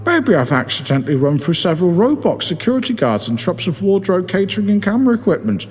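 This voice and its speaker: A man's voice: high voice, big pitch swings, fast talker, long reverb tail, pitched above the performer's own voice. A man voicing a hapless, smug jogger in his twenties, put-upon and grumbling, for a comic story. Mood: bewildered. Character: hapless, smug twenty-five-year-old male jogger, baffled and grumbling. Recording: music behind the voice.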